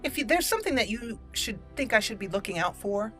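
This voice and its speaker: A woman giving chatty gameplay commentary as host, slipping now and then into measured in-character roleplay speech. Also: a little high, very animated, fairly bright tone. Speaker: let's play video host. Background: game music.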